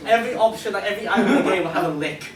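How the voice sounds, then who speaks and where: A man's voice: high-pitched, rapid-fire, a crowd in the background. A man chatting casually and jokingly as he presents at a convention panel. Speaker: panelist and game creator. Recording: echoey convention room, distant mic, noisy.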